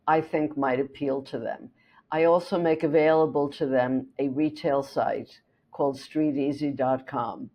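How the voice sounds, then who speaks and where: Give me a German-native woman realtor telling client stories in an interview, measured and explanatory. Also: low voice. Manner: steady, explanatory, with small restarts. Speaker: real estate agent. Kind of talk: interview storytelling.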